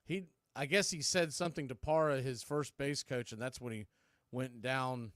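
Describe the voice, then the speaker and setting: A man's voice: medium pitch, normal speed, fairly bright tone, no room sound, clean studio sound. A man announcing baseball in a play-by-play commentary style.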